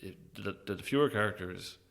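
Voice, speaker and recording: Deep, gravelly Irish man chatting. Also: a little fast. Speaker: playwright interviewed as guest. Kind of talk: casual interview chat.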